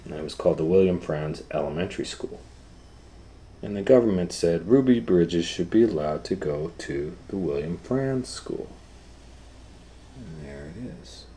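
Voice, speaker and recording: Man, deep low voice, storytime educator, children's read-aloud, simple deliberate phrasing, noisy recording. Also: animated, warm tone.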